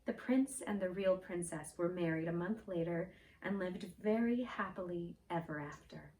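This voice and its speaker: A woman in costume reading a fairy tale aloud in a gentle, expressive storytelling voice. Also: fairly low pitch, moderate pace, a little hiss or hum. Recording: roomy echo, mic at a distance.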